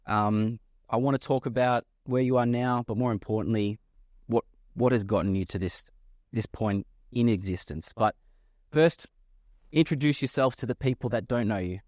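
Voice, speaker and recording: A man's voice: mid-range voice, darkish tone, no room sound, clean studio sound. A man hosting a podcast interview, asking friendly questions that he often restarts partway through. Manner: friendly, halting, repeats words while asking questions. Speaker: podcast host.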